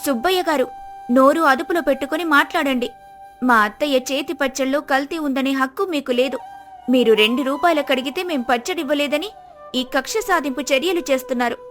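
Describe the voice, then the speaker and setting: High, firm young village daughter-in-law voiced by a woman. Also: brisk, a bit bright, faint music behind. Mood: defiant. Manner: firm, defiant. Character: brave, outspoken young daughter-in-law selling pickles. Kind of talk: moral story dramatization.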